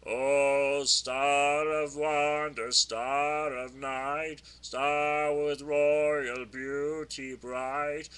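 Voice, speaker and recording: Male carol singer, fairly high, smooth and resonant, very unhurried phrasing. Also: little pitch movement, a bit bright. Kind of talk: slow melodic carol singing, unaccompanied.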